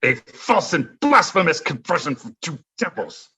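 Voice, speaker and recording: An actor playing a scheming, zealous French duke in an audio play, speaking in a grandiose, mocking, theatrical way. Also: male voice, fairly high pitch, sing-song, pushed and bright, slightly rough, heavily acted. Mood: scornful, zealous. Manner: grandiose, mocking, florid delivery with dramatic drawn-out phrases.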